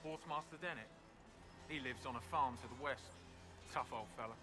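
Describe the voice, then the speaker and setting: An actor playing a weary, dutiful corporal in a fantasy game, plain-spoken and a little wry. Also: male voice, mid-range voice, a little fast, music in the background, lightly acted. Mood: weary, concerned. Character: weary, dutiful male army corporal managing refugee aid. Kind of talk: scripted fantasy game dialogue.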